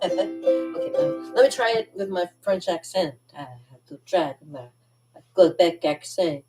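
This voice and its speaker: A woman's voice: lowish voice, animated, moderate pace, distant mic, over music, poor audio. A woman with a Canadian accent, chatting casually and rambling between songs, laughing often. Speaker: channel host. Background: loud ukulele strumming.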